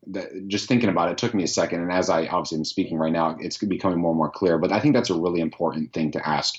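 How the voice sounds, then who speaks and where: Deep-voiced man, earnest and reflective. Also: muffled recording. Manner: reflective, earnest, thinks aloud. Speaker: podcast host, guest here. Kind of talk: casual interview answers.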